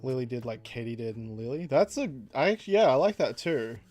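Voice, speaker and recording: A man casually chatting on a livestream while he draws, reading out chat messages, laughing often and jumping up in pitch for jokes. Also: big pitch swings, normal speed, darkish tone, soft background music.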